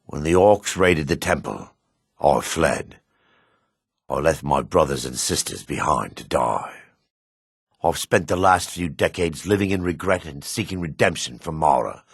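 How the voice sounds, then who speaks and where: Dark elf priest, very low husky voice, expressive swings, earnest, formal, fantasy game. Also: male voice, dry recording, clean studio sound, full character voice. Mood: remorseful. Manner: formal, measured, grave delivery. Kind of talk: fantasy video game voice acting.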